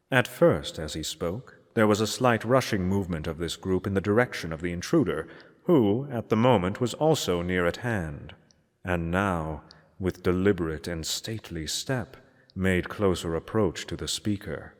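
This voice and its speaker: A man reading a gothic story aloud as narrator, in a solemn, measured and theatrical manner. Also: sits low, animated. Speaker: narrator, reader. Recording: long hall-like reverb.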